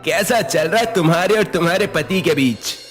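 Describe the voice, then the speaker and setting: A man's voice: sits high, moderate pace, a bit bright, soft background music. A man playing a concerned young male friend in a dramatised Hindi moral story. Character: concerned young male confidant of unhappy wife.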